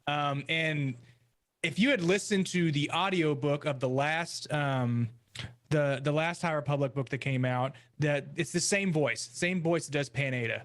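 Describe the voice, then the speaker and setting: A man on a podcast panel giving a casual review in a halting way, pausing often. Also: on the high side, a bit bright.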